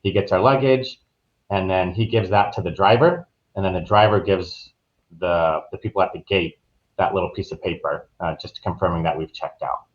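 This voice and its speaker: A man's voice: sits low, normal speed, dull audio. A man chatting casually as an interview guest, recounting things in an informal, easygoing way. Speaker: podcast guest, travel agent.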